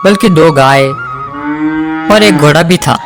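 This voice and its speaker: A man's voice: a little high, measured pace, gravelly, loud music behind the voice. A man narrating a scripted cartoon folk tale for children as storyteller.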